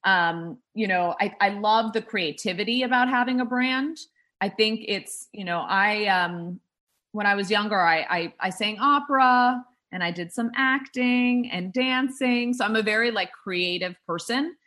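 A woman's voice: mid-range voice, unhurried, pushed and bright. A woman who founded a drink startup, talking in a casual interview, rambling with many fillers and restarts.

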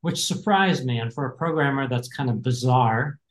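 A man's voice: mid-range voice, moderate pace. American man in his fifties, reflective. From United States. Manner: reflective, many fillers and restarts. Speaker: guest, executive coach. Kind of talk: casual interview talk. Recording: roomy echo.

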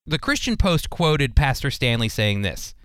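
An American man teaches the Bible as a radio host. His voice is clear and smooth, recorded close and dry in a studio. He speaks conversationally but earnestly, leaning on key words like a preacher. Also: mid-range voice, big pitch swings, a little slow, a bit bright, dry recording. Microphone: close studio microphone.

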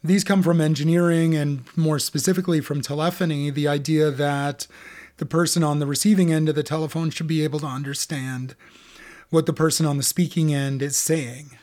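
A man with a slightly raised voice talks through ideas in an interview. His delivery is unhurried and thoughtful, full of ums and uhs, and he builds his points as he goes. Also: on the high side, steady tone, darkish tone. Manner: thoughtful, explanatory, with many fillers. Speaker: author being interviewed. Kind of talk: academic conversation.

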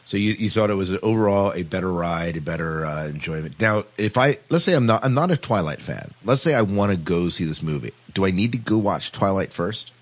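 A man hosting a casual podcast discussion, speaking a little haltingly and repeating words. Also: low voice, animated. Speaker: podcast host.

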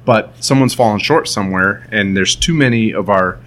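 Man talking soccer, slightly low voice. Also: normal speed. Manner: rambling, animated soccer talk with acted-out quotes. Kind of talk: casual podcast chat.

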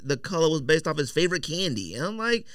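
Animated American man, dry close sound. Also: a little high, fast, no echo. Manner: opinionated, emphatic. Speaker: podcast host. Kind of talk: casual chat about sneakers, slangy. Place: indoor podcast studio.

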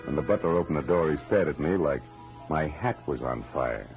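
Deep-voiced hard-boiled American private eye. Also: male voice, animated, brisk. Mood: wry narration. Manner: terse, dry, wry. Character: hard-boiled male private detective, wry and dogged. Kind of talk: 1940s radio drama acting with first-person narration. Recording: muffled old-time radio recording with music.

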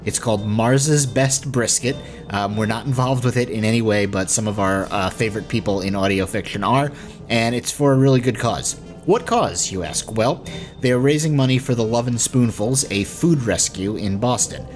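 A man's voice: lowish voice, moderate pace, soft background music. A man hosting a podcast, giving an informal, conversational announcement to listeners. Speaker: podcast host.

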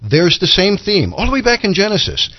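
A man speaking as a church elder and guest on a religious talk show, measured and teacherly. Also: high-pitched, sing-song, breathy edge, no room sound. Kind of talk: religious talk radio discussion. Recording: dull lo-fi radio audio.

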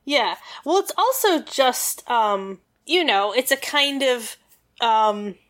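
A woman's voice: on the high side, animated, slow pace, fairly bright tone. A woman in a casual podcast chat, explaining history with lots of fillers and rambling asides.

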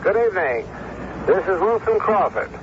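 Pompous, scathing critic. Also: male voice, high-pitched, sing-song, normal speed. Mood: scornful, grandiose. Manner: pompous, precise, withering. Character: pompous, scathing male theatre critic on air. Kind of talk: 1970s radio acting as broadcast review. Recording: dull, faintly noisy radio recording.

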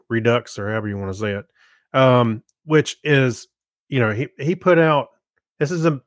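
A middle-aged man co-hosting a podcast, chatting casually in a low-key, hesitant, meandering way. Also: dry recording, clean studio sound. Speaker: podcast co-host. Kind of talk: casual chat, giving a review.

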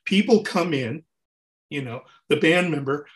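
A man's voice: fairly high pitch, a little slow. A man in his sixties, a touring keyboard player, talking animatedly in a casual interview and often restarting his sentences. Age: 60s. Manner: animated, talks in long runs with restarts.

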